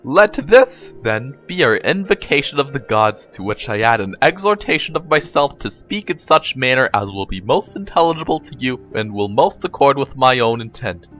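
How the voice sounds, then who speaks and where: A man reads as a stately, pompous arbiter in a mock-philosophical story. He speaks in formal rhetoric with animated intonation. The voice is bright, over faint music. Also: mid-range voice, normal speed, few pauses, dull audio. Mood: declaiming.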